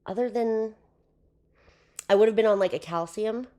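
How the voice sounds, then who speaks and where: A young woman with a hoarse voice, chatting casually as a podcast guest, with lots of fillers. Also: mid-range voice, normal speed, warm tone. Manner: chatty, with frequent fillers and laughs. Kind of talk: casual interview chat.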